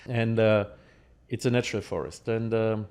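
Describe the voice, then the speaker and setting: A man from Germany with a German accent, explaining things conversationally and haltingly in an interview, checking in with his listener. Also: lowish voice, steady tone, a little mellow, smooth.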